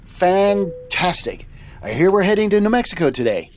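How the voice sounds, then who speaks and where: A man's voice: high-pitched, big pitch swings, moderate pace, soft background music. A man hosting a show in character as a trucker captain, with a cheerful, folksy manner.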